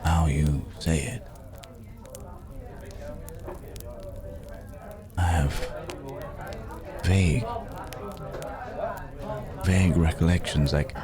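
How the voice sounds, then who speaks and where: Man acting a very deep-voiced, weary cursed werewolf. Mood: musing. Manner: musing, hesitant, old-fashioned formal speech with long pauses. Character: weary cursed werewolf man, philosophical, gently hopeful, courteous. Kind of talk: slow intimate ASMR audio roleplay acting. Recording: background crowd murmur.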